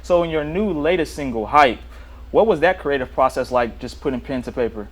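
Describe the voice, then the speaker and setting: A man's voice: medium pitch, animated, brisk. A man hosts a casual interview podcast with a laid-back, easygoing delivery. He tends to repeat his phrases as he reacts and asks questions. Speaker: podcast host.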